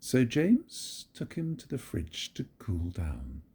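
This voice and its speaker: An older man reads a children's story slowly and gently, his pitch swooping widely as he acts it out. His voice is dark, mellow and breathy. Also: wildly swooping pitch. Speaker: grandfather reading to grandchildren. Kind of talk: reading a picture book aloud.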